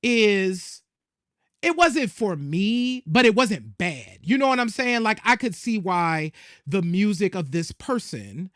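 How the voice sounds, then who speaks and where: A man with a somewhat high voice speaks as a podcast co-host. His delivery is casual and conversational, and the recording is dry with no room sound. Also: big pitch swings, slow pace, a bit bright, no echo.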